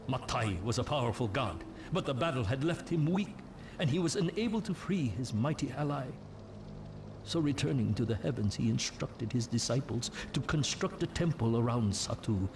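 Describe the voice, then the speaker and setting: An actor playing a solemn, portentous narrator of dark ancient legends in a video game, telling them as dramatic scripted storytelling. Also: male voice, medium pitch, background noise. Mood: solemn, epic.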